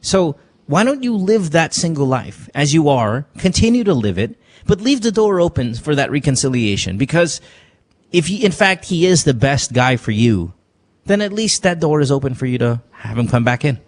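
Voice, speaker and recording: A man's voice: on the high side, very animated, moderate pace, slight background noise. A man hosting a call-in advice show, joking and frank, who drops Tagalog words into his talk. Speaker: radio advice show host. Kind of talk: casual call-in advice chat.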